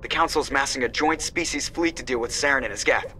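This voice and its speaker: A man playing an eager, informal starship pilot in a video game, with a brisk manner. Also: mid-range voice, sharp tone, far from the mic, slight background noise, close to an everyday voice. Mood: businesslike. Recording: music behind.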